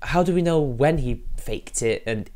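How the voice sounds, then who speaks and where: A man's voice: medium pitch, animated, unhurried. Rambling man streaming. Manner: rambling, talks to chat while fiddling with software. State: sniffly from allergies. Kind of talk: casual live-stream commentary.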